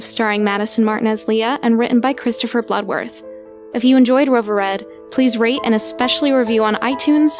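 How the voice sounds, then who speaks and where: A woman reads scripted podcast credits as the show's announcer. Her voice sits a little high, with music clearly playing underneath.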